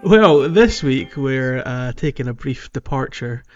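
Guy chatting on a podcast, kinda pauses to think, dullish mic, music underneath. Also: mid-range voice, animated, a little mellow. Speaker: podcast co-host. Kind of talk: casual podcast discussion. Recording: slightly dull, lo-fi mic, music behind.

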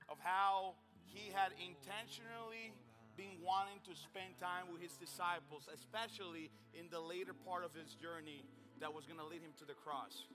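A man's voice: high voice, bright, forceful tone. A man from the Dominican Republic with a Dominican Spanish accent preaches informally as a youth pastor, stumbling over words and correcting himself. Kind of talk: sermon to a men's Bible study group. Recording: long hall reverb on the voice.

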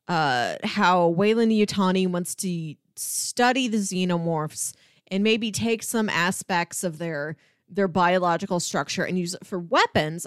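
A woman's voice: fairly low pitch, smooth, no echo, studio quality. A woman podcast co-host in casual film discussion, thinking aloud and talking through examples in a conversational way.

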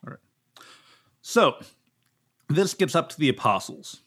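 A man, a pastor from the United States, hosting a casual Bible study podcast in an animated way, often restarting his sentences and leaping up in pitch to make a point. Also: slow, slightly rough. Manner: animated, jumps up in pitch for emphasis, frequent restarts.

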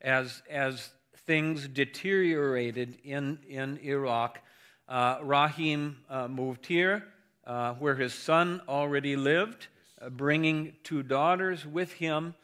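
A man preaching as pastor in a measured, earnest way, then interviewing a guest. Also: mid-range voice, a bit bright, smooth. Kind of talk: sermon and interview.

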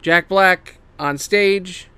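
Guy w higher smooth voice pitching a story, real emphatic, sweary, casual asides, dry close sound. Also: very slow, pushed and bright, dry recording. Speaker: host pitching video game movie adaptations. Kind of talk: casual, semi-improvised story pitch to camera.

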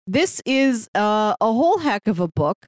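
A woman's voice: a little high, big pitch swings, measured pace, no echo, studio quality. A woman co-hosting a podcast, explaining things in a casual, informal way as in a book club chat. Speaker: podcast co-host.

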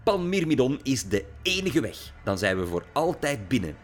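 A man voicing an ambitious, furious teenage girl influencer in an audiobook, shrill and bursting. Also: sing-song, normal speed, faint music behind. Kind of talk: audiobook character voice.

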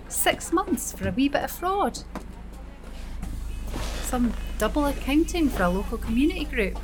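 A woman with a Scottish accent playing a harried, blunt nurse who talks through her thoughts in a brusque, chatty way. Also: on the high side. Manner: brusque, chatty inner monologue. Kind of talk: audio drama acting.

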